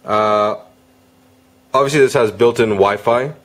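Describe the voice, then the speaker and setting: Male product reviewer, lower-pitched, casual and unscripted, slightly halting delivery with mid-sentence rephrasing.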